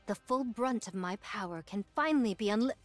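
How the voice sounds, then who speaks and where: A woman's voice: medium pitch, animated, brisk, close to an everyday voice. A woman voicing a proud, boastful android warrior in an anime game, speaking in grandiose archaic phrases over music. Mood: proud, defiant.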